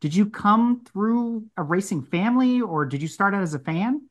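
A man's voice: sits high, expressive, moderate pace. A man hosts a podcast. He delivers prepared introductions and puts interview questions to his guest in an orderly way. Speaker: podcast host.

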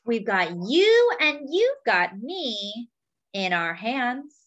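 A woman's voice: a little high, sing-song, very slow, a bit bright, muffled recording. A woman reading a picture book aloud to young children, in simple phrases as a children's storyteller.

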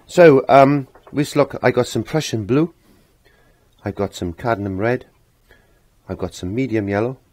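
A middle-aged man with a croaky voice giving a casual step-by-step painting lesson, rambling as if to himself and repeating his phrases. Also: mid-range voice, a little slow, darkish tone, no room sound. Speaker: painting instructor. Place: indoor home art studio.

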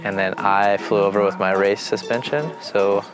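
A laid-back man with a fairly low voice chats casually about his riding, dropping "like" into his sentences. His talk stays loose, and he laughs easily as he explains. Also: fairly even intonation, moderate pace, over loud music, rough recording. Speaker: pro downhill racer. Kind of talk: casual chat.

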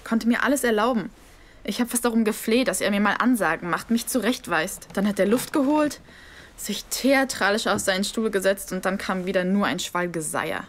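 A woman's voice: medium pitch, normal speed, lightly acted. An actress playing a blunt, sardonic young woman recounting events in an audio drama. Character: young woman Emilia, cheeky trainee. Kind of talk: radio drama acting.

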